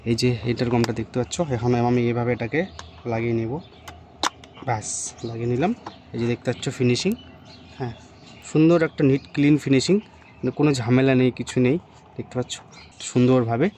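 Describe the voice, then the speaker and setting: A man's voice: mid-range voice, a little mellow. A man giving a casual, improvised hands-on tutorial to viewers, talking informally as he works. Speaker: motorcycle vlogger presenting an unboxing and installation. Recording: clear background ambient noise. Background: background noise.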